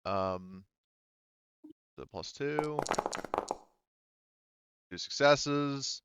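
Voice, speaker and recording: A man acting as game master, narrating a tabletop roleplaying game in a casual, halting, sweary way. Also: mid-range voice, animated, dull audio, background noise.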